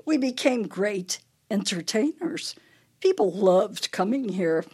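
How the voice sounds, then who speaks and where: A woman's voice: mid-range voice, sing-song, slow pace, a little mellow, husky, full character voice. A woman playing an imperious, brittle older wife with a failing memory in a radio play, her delivery theatrical and needling. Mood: teasing, bright.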